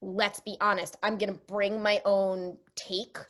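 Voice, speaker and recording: A woman speaking as an interview guest, an actress explaining things in a chatty, animated, casual way. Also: medium pitch, measured pace.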